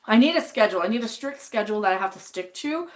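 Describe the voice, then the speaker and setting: A woman's voice: medium pitch, lively intonation, fast. A young adult woman hosting a casual livestream while she cooks, talking bluntly, swearing freely and rambling, a little sniffly. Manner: reads chat names aloud and answers them. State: a bit sniffly. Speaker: livestream host.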